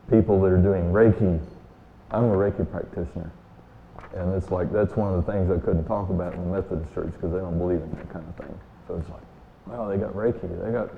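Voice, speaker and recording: A man with a booming voice giving a guest sermon in a church, telling stories in a folksy, relaxed way with many fillers. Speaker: guest speaker. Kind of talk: sermon with personal story. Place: church sanctuary. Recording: echoey hall, tinny phone-like sound.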